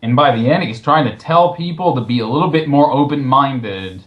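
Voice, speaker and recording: A man's voice: mid-range voice, normal speed, dull audio. A man hosting casual movie commentary in a sarcastic, incredulous manner. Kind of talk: casual commentary with read-out quotes.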